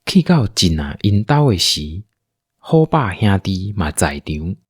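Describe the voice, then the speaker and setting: A man's voice: sits low, big pitch swings, slow, breathy edge, no echo, clean studio sound. A man with a Taiwanese accent narrating a scripted audio drama as its storyteller.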